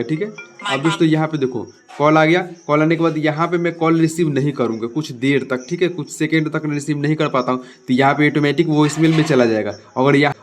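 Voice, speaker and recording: Male tech tutorial host, very quick delivery, slightly high pitch, casual and repetitive check-ins. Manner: repetitive tag questions, addresses viewers as friends. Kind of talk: casual step-by-step phone tutorial.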